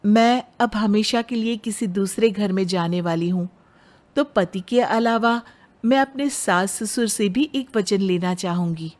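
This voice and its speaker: A woman's voice: medium pitch, measured pace, a little mellow, lightly acted. A woman voicing an educated young bride in a moral story, outspoken and determined yet respectful. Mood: firm, polite. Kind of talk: dramatized Hindi moral story.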